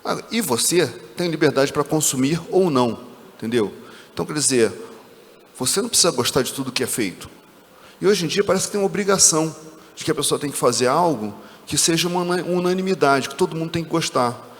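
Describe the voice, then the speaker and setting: A man speaking on a conference panel, deliberate and hesitant, repeating words before making his point. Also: fairly high pitch, expressive. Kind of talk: panel discussion. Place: conference stage. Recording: reverberant hall.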